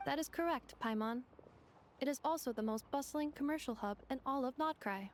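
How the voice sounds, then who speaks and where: A woman's voice: high voice, fairly quick, slight background noise, natural voice. A woman voicing a polite, literal-minded female robot companion in a video game, speaking formally and precisely. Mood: welcoming.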